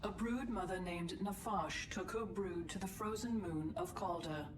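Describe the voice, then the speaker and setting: A woman's voice: lowish voice, unhurried, noisy background, close to an everyday voice. A woman voicing an impassive ship's computer in a video game, with precise, clipped announcements. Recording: echoing, distant speaker, music behind.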